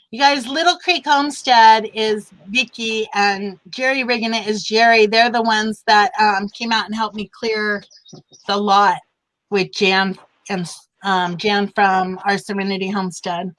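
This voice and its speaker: A woman's voice: mid-range voice, fairly even intonation, unhurried, fairly bright tone, poor audio. A woman hosting a casual live chat over a video call, chatty and upbeat, laughing easily. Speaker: livestream host. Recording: choppy internet stream.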